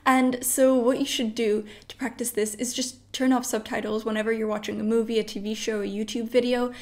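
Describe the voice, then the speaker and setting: A woman's voice: a little high, flat delivery, fairly quick. Chatty young woman giving exam tips. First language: Polish. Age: late teens. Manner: chatty, lots of "like" and "you know". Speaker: study vlogger. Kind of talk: casual advice vlog. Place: bedroom at home.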